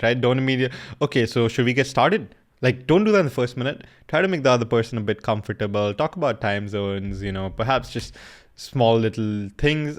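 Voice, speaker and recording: A young man in casual commentary, coaching viewers through a recorded sales call in a loose, slangy way. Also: medium pitch.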